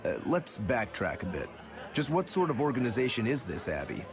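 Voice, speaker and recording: A man's voice: mid-range voice, big pitch swings, moderate pace, lightly acted. A man voicing a laid-back, wry rogue in a video game, casual and curious. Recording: music behind the voice.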